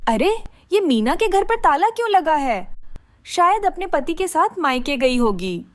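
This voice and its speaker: A woman's voice: extremely high pitch, very animated, unhurried, no room sound, studio quality, full character voice. A woman playing a kind, helpful village vegetable seller, earnest and exclaiming, in a children's moral story. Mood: puzzled.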